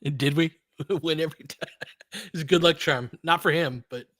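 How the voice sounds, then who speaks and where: A man's voice: on the high side, normal speed, pushed and bright, no echo, clean studio sound. An American man doing casual play-by-play as a partisan fan, in clipped, colloquial present-tense phrases. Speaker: play-by-play host and fan commentator. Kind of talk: casual audio basketball play-by-play commentary.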